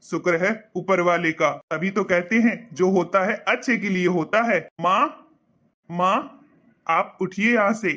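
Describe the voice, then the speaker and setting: A man's voice: high voice, unhurried, pushed and bright. An actor voicing an earnest young son in a cartoon, reassuring his parents in a heartfelt way.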